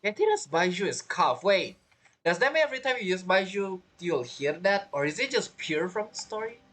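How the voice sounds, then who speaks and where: A man's voice: high voice, sing-song, brisk, fairly bright tone. A man giving casual game commentary, musing aloud, repeating phrases and asking himself questions. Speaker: gamer narrating a playthrough. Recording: game music behind the voice.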